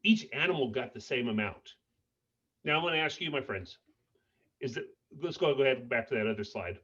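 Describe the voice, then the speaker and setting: A man reading a picture book aloud to children in an expressive way, pausing to put questions to his listeners. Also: medium pitch, husky, muffled recording. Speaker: school principal reading to children.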